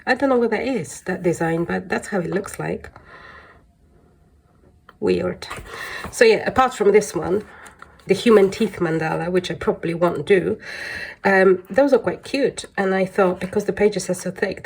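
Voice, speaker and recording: A woman's voice: on the low side, creaky, a little mellow, slightly rough. A woman with a congested voice chatting casually through a show-and-tell hobby vlog, rambling and a little hesitant. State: congested from flu. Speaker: colouring hobby vlogger.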